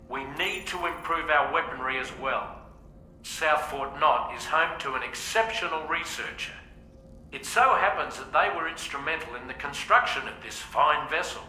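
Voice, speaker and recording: An actor playing a purposeful ship's captain in a video game, giving a businesslike, folksy briefing with orders. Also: male voice, a little high, bright, forceful tone. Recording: echoey transmission over faint noise.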